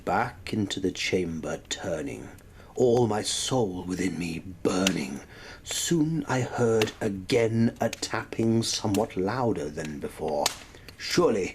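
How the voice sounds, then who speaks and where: Male reader for gothic poetry: lowish voice, measured and slow, theatrical, sinking into hushed tones, with audible background noise. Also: lively intonation. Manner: theatrical, often dropping to a hushed near-whisper. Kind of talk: dramatic recitation of a gothic poem.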